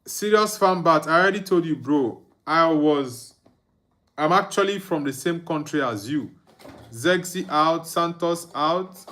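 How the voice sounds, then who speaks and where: A man's voice: on the high side, a bit bright. A young man from Nigeria hosting a live football watchalong, chatting casually, reading viewer comments aloud and humming along. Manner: reads viewer comments aloud, chuckles, hums and sings under his breath. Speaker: watchalong streamer.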